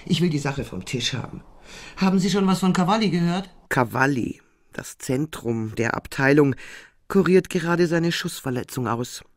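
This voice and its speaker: An actress playing a harried, irritable senior prosecutor in a German radio crime drama, exasperated and clipped. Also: female voice, deep voice, expressive, normal speed, no room sound, studio quality. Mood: irritated, sardonic.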